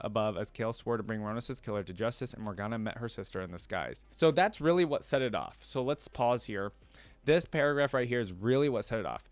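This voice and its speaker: A man's voice: lowish voice, dry recording. A man hosting a reaction, switching between reading a story aloud and informal commentary where he thinks aloud. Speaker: reaction video host.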